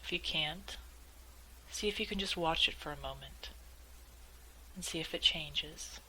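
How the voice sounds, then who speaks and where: A woman leading a guided meditation in a measured, gently instructive way, as calm spoken instruction. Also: low voice, slight background noise, poor audio.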